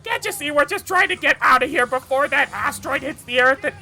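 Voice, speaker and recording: A woman voicing a bumbling male cartoon villain, a frantic mad scientist with a sharp, strained voice who rambles and exclaims theatrically. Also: high-pitched, expressive, fairly quick, heavily acted. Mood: panicked. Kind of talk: cartoon fan tribute monologue.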